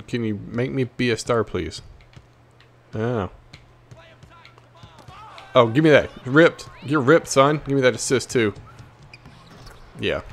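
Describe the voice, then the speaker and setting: A man giving casual, slangy gameplay commentary, cocky and playful with plenty of trash talk. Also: medium pitch. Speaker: gaming video host.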